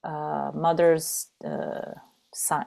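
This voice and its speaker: A woman whose first language is Portuguese, speaking English with an accent on a video call, halting with many restarts. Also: low voice, flat delivery, gravelly, warm tone. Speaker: trainer for mothers. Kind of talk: casual video-call interview.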